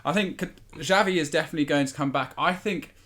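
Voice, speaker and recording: A man's voice: a little high, fast talker, a bit bright. A man speaking as a guest pundit in a casual, conversational football debate.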